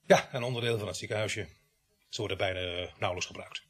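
A grumbly middle-aged cop sergeant in a radio drama, husky voice, dry n sarcastic. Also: male voice, lowish voice, quick pace, fairly bright tone. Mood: dry, instructive. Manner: dry, gruff, sarcastic, hesitant asides. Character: grumbling, sardonic veteran village police sergeant.

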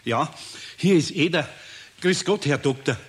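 A kindly older Bavarian craftsman, husky and swinging widely in pitch. He sounds homely and flustered, stumbling into hesitations, with the warm-hearted worry of a children's radio play character. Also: animated, measured pace. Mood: worried. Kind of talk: 1970s German children's radio play acting.

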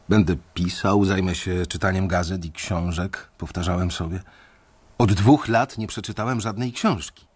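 Deep-voiced man narrating reflectively. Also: husky. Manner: measured, reflective storytelling. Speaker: first-person narrator. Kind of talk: radio drama narration.

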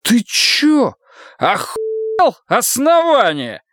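A man's voice: sits high, very animated, measured pace, no echo, pitched above the performer's own voice, heavily acted. Guy doing a wild-eyed young narc cop, barking threats, voice jumping way up, dry close sound. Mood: shouting, aggressive. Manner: shouting, swearing, threatening. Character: young male plainclothes drug cop, crazed, bullying.

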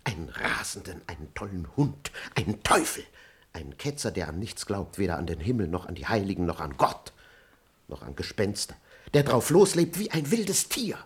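An actor playing a talkative, indignant yet cowardly manservant in a classic comedy radio play, speaking in a theatrical, confiding and pompous way. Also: male voice, low-pitched, sing-song, moderate pace, husky, full character voice. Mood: indignant, ranting.

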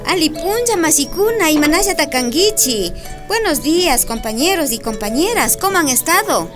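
A hospitable country woman in a radio drama. Her voice is notably high, plain-spoken, over a bed of music. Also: sing-song, moderate pace. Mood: cheerful, teasing. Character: hospitable, blunt Andean village woman. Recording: music under the voice.

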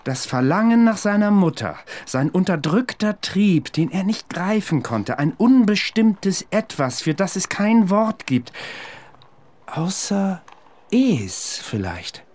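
An intense, wry war veteran. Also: male voice, sits high, very animated, slow, a little mellow, heavily acted. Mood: musing, earnest. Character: intense, wry, haunted man claiming to be Napoleon's veteran. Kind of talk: radio play dialogue.

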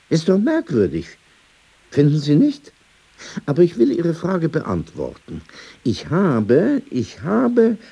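A man's voice: medium pitch, warm tone, husky, heavily acted. An actor playing a world-weary, prickly old man in a radio drama, addressing someone formally with probing questions and sudden turns to reminiscence. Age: older.

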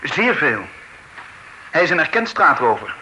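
Accused gentleman, high, swooping, pleading, hissy old radio tape. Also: very animated, measured pace, heavily acted, low-quality recording. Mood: earnest. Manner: pleading, indignant outbursts. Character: accused gentleman desperately protesting innocence. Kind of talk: old radio play acting.

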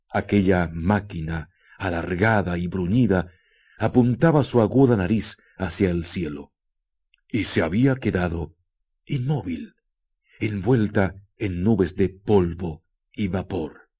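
A man narrating a radio drama in a measured, suspenseful manner, with deliberate pauses between short phrases. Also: low voice, mellow, breathy edge. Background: music.

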